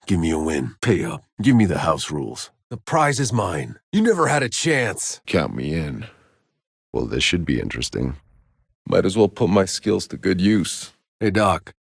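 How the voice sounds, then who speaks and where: Husky, low-voiced, jaded game soldier. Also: male voice, very animated, normal speed, no echo, studio quality, exaggerated voice. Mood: low, dry. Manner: wry, terse, world-weary delivery. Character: jaded, sardonic male soldier, hardened survivor of alien wilds. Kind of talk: video game character voice lines.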